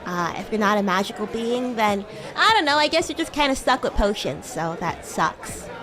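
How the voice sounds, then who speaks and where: A woman voicing a bratty, playful young demon schoolgirl in chatty livestream roleplay, with a teasing, giggly, halting manner. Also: mid-range voice, animated, voice pushed down, close to an everyday voice. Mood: curious, animated. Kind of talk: improvised in-character livestream roleplay chat. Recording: music and crowd murmur behind. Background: music and crowd ambience.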